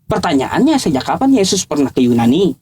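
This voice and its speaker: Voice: medium pitch, sing-song, brisk, background noise. A debater, speaking informally and insistently, challenging and repeating points in a religious argument. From Indonesia.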